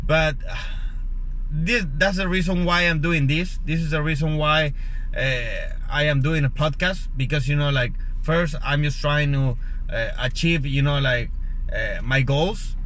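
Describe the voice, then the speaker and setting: A man's voice: on the high side, measured pace, fairly bright tone, a little hiss or hum, rough recording. A young man from Chile with a Chilean Spanish accent, a native Spanish speaker hosting his own podcast in an earnest, casual monologue full of fillers and restarts. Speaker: podcast host.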